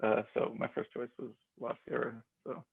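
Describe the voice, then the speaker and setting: A young man from Austria answers interview questions, stopping and restarting often with plenty of fillers. His voice is gravelly and stays fairly level in pitch. The sound is narrow and tinny, like a phone line. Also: level tone, normal speed. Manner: hesitant, many fillers and restarts. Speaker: guest, MBA grad student. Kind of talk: casual interview.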